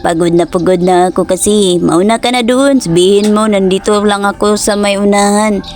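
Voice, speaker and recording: A woman's voice: medium pitch, steady tone, moderate pace, a little mellow, soft background music, close to an everyday voice. A woman voicing a lazy, dim-witted young man in a cartoon story who talks in a sleepy, easygoing way. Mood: tired.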